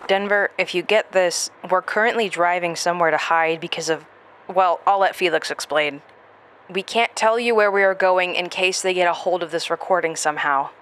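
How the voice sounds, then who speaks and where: A woman's voice: fairly low pitch, moderate pace, a bit bright, a little hiss or hum, lightly acted. A woman playing a grumpy, worried fugitive in a horror audio drama, terse and exasperated. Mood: worried, irritated.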